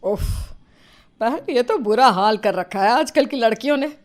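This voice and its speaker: A scolding older mother-in-law played by a woman, high in pitch. She nags and bosses her household with sarcastic exasperation, in the manner of an audio family storybook. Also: lively intonation, a little slow. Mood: nosy, bossy. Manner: bossy, nagging, sarcastic.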